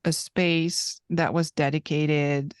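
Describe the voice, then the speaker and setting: Lady in her 30s, low smooth voice, talks slow and thoughtful, pauses a lot, dry close sound. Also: flat delivery, no room sound. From Hungary. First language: Hungarian. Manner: thoughtful and reflective, with frequent pauses. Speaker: guest. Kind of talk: conversational interview storytelling.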